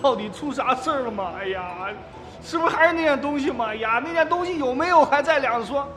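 A man's voice: very high-pitched, lively intonation, brisk, over a noisy crowd. An actor playing an evasive, flustered male labourer in a radio drama, stammering and whining as he talks. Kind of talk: Chinese radio drama acting. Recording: echoey, reverberant drama scene with music. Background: clear music.

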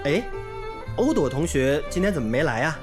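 A woman performs a gentle village teacher in a radio play. Her voice is low yet animated, patient and encouraging in manner. Music is clearly heard behind her. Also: normal speed. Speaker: schoolteacher. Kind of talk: radio drama acting.

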